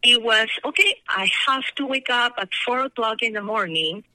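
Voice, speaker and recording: A middle-aged woman with a Peruvian Spanish accent, talking earnestly as a guest in a radio interview, pausing and stressing words. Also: on the high side, pushed and bright, no room sound, poor audio. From Peru. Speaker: radio guest, initiative sponsor.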